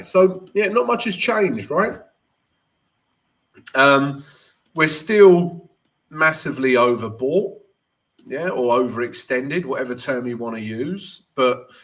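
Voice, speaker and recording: A man talking through charts on a live stream, his voice stuffy and nasal from a cold. He is chatty and casual, calling his viewers guys and tagging his points with a quick "right?". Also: medium pitch, a bit bright. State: congested, stuffy nose. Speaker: trading livestream host.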